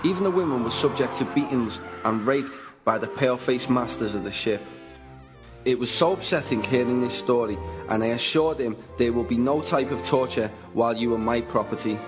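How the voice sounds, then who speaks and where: A man playing a middle-aged, conflicted slave merchant in a radio drama, speaking in a measured, formal, earnest way. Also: mid-range voice, lightly acted. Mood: grave, reflective. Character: conflicted older slave merchant, male, firm yet humane. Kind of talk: radio drama dialogue and first-person narration. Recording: music bed under the voice.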